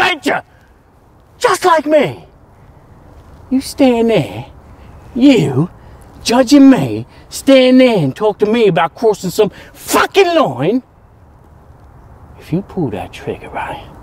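A volatile gangster boss. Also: male voice, high voice, breathy edge, exaggerated voice. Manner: swearing, rambling, menacing, swings from shouting to hushed. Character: volatile London gangster boss, middle-aged man. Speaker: gang leader. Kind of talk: TV crime drama acting.

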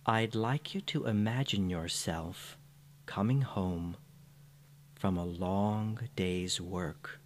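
A man with a fairly low voice delivers a scripted guided meditation. His pace is extremely slow, with soothing, gentle phrasing. A faint background hum sits under the recording.

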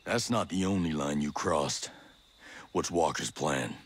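A man's voice: very deep voice, unhurried, slightly rough, exaggerated voice. A man playing a stern, suspicious soldier in a video game, blunt and terse. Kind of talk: video game cutscene acting.

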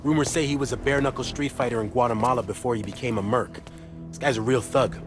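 An actor playing a wry, earnest intelligence contact in a video game, briefing a soldier in a conspiratorial, colloquial way. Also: male voice, medium pitch, steady tone, fast, over music, lightly acted. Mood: wry, intent. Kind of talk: video game cutscene dialogue.

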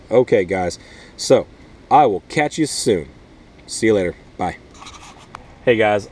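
A man's voice: medium pitch, darkish tone. A man in his thirties, a schoolteacher, speaking informally to children and parents and explaining things step by step in simple words. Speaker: schoolteacher host. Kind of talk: read-aloud and informal lesson addressed to children and parents. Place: inside a small plastic-sheeted wooden greenhouse.

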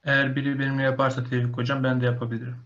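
A man's voice: medium pitch, monotone, rapid-fire, a bit bright. A man in his twenties with a Turkish accent, practicing a German speaking exam. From Turkey. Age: 20s. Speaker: language learner. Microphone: video call. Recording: muffled lo-fi call audio.

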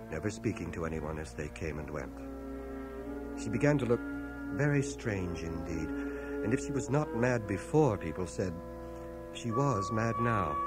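Reflective male narrator, acted, fairly low and very varied in pitch, radio drama with loud music under. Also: close to an everyday voice. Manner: measured, storytelling, expressive. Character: reflective man recalling his small-town boyhood. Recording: home cassette transfer, music underneath.

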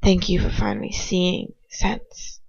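A haughty male dark wizard from a storybook, put on by an amateur reader, commanding and curt. The voice has some fry and sits dry in a slightly dull recording. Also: medium pitch, sing-song, takes it slowly, no echo, heavily acted. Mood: smugly commanding. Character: cold, imperious adult male aristocratic dark wizard.

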